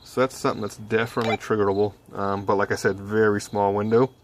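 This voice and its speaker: A young man giving a casual project walkthrough in a low-key, hesitant way, thinking aloud as he goes. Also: little pitch movement, fast, slight background noise. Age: young adult. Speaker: hobby electronics vlogger. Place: small cluttered home workbench indoors. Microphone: handheld phone camera.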